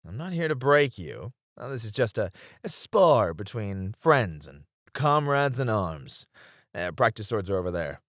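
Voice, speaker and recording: A man's voice: fairly low pitch, big pitch swings, unhurried, dry recording, studio quality. A man playing a confident, teasing knight bantering with an old comrade in an audio roleplay. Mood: relaxed, friendly. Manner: playful teasing with frequent chuckles. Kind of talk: ASMR romance audio roleplay, one-sided dialogue.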